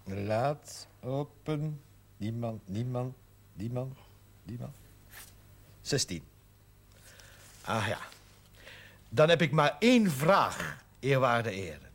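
An actor playing a deliberate, theatrical male questioner at a village hearing in a Dutch TV drama, repeating words for effect. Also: mid-range voice, sing-song, full character voice. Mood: pointed. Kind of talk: Dutch television period drama acting. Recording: noisy old TV soundtrack.

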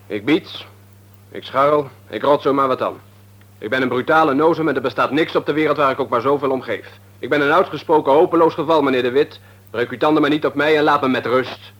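An actor playing a cynical, guarded young layabout in a Dutch radio play, insolent and offhand, with a Netherlands accent. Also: male voice, mid-range voice, fairly quick, a bit bright, muffled recording, lightly acted. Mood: defiant, cynical. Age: young adult. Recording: radio drama recording.

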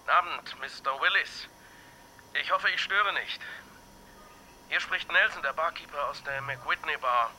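An actor playing a wary barkeeper mixed up with criminals in a radio crime drama, guarded in manner. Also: male voice, a little high, measured pace, pauses often, noisy background, natural voice. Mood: polite, guarded.

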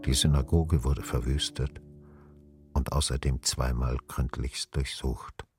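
An actor playing a stern, world-weary small-town official, measured and deliberate, in a German radio play. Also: male voice, very deep voice, steady tone, a little mellow, slightly rough, faint music behind, heavily acted. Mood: grave, measured.

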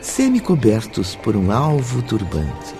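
A man playing the narrator of a solemn radio drama, measured and descriptive, with a Brazilian accent. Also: on the low side, huge pitch swings, heavily acted. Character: narrator of a solemn spiritist tale. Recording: music behind the voice.

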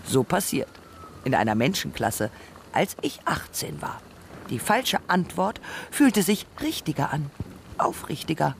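A performer voicing a reflective radio narrator who muses on robots and humans in deliberate, rhetorical phrases. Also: mid-range voice, sing-song, vocal fry, slight background noise. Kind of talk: scripted radio essay narration. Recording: music behind the voice. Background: music.

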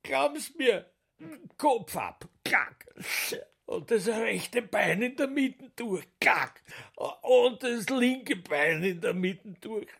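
A man playing a slippery, melodramatic mourner in a radio drama, husky and tearful, lamenting in drawn-out wails. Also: high-pitched, lively intonation, a bit bright, exaggerated voice. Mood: sham grief. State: crying. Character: theatrical sham-grieving man, wailing, slippery, overwrought. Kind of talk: German radio drama acting.

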